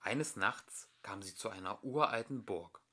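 A man's voice: fairly low pitch, measured pace, pushed and bright. A man narrating a children's story expressively, as an amateur audiobook reader.

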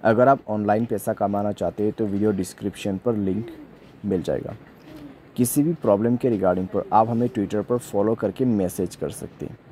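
A man's voice: lowish voice, steady tone, moderate pace, dark tone, slight background noise. A man giving a step-by-step phone troubleshooting tutorial as a voice-over in an instructional way. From India. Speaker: tech tutorial host.

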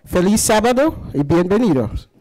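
A man with a notably high voice reads a short welcome to visiting worshippers from the pulpit of a church sanctuary. His tone is even and formal, like an announcement. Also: moderate pace, rough recording.

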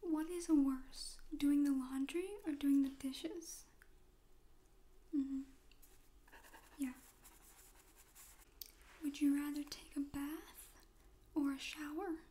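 Softly whispering young woman, slow ASMR. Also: high voice, fairly even intonation. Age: young adult. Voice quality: breathy, mostly whispered. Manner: slow, gentle, informal questioning with pauses. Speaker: ASMR host. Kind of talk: soft-spoken ASMR friend interview roleplay. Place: small room at home, indoors.

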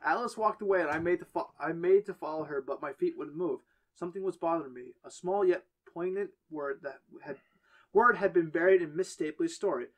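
A man's voice: on the high side, fairly quick, poor audio. A man reading a first-person horror story aloud on a podcast, stumbling now and then and correcting himself. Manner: reads on with stumbles and self-corrections.

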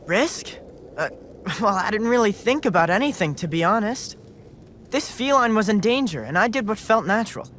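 A woman playing a plucky, excitable young cat-loving adventurer in a fantasy video game, speaking in an eager, earnest way. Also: medium pitch, normal speed, slight background noise, lightly acted. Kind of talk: animated video game dialogue acting. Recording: game music under the dialogue.

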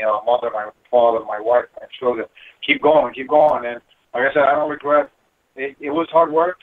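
A middle-aged man talking casually and earnestly as an interview guest, peppering his speech with "you know". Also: mid-range voice. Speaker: guest, building engineer. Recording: thin phone-line sound.

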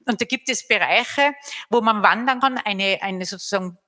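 A woman with an Austrian accent speaking as a panel guest on stage into a handheld mic, animated, with quick bursts and restarts. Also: medium pitch. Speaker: panel guest from the hotel trade. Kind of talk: panel discussion.

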